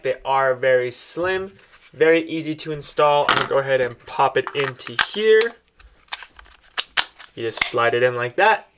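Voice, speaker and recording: A man's voice: medium pitch, fairly bright tone. A man presenting a product in a casual, step-by-step unboxing style, explaining things directly to viewers as he demonstrates.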